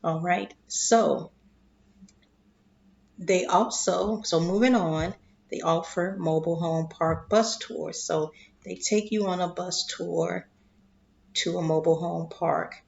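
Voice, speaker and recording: A woman with a lowish, gravelly voice talks very slowly through an explainer, her voice fading and hoarse. The recording sounds dull and lo-fi. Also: fairly even intonation, a little mellow. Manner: slow, deliberate, repeats "okay?" to check in. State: losing her voice, clearing throat. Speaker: investing vlogger. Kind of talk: casual explanatory monologue.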